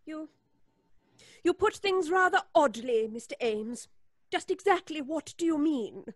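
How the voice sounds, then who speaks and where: Prim sceptical woman, high voice, high-strung, distressed, indignant, emphatic, old-time radio suspense, dry sound. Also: animated, measured pace, no echo.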